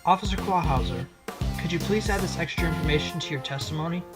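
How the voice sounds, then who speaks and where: A man voicing a wry, sharp-witted fox defense attorney in a fan audio drama, insistent as he questions a witness. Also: fairly high pitch, fast, lightly acted. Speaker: defense attorney cross-examining. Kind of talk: fan audio drama acting with inner monologue. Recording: loud music under the voice. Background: loud music.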